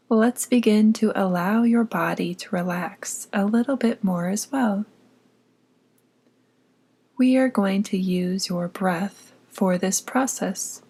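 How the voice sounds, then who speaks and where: Slow, breathy woman guiding meditation. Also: mid-range voice. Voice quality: soft, breathy, often near whisper. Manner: soothing, unhurried, gently instructing. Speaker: guided meditation guide. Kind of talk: scripted guided meditation narration.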